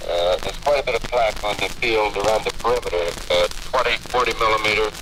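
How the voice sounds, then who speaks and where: Male pilot in debriefing, lowish pitch, halting and factual, noisy vintage field recording. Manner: halting, matter-of-fact. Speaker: military pilot giving debriefing. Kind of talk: unscripted mission report.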